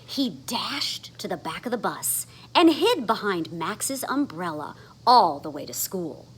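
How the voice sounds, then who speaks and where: Woman, children's read-aloud, slow pace, hugely varied pitch, expressive, dramatic emphasis. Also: breathy edge, poor audio. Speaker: storybook reader.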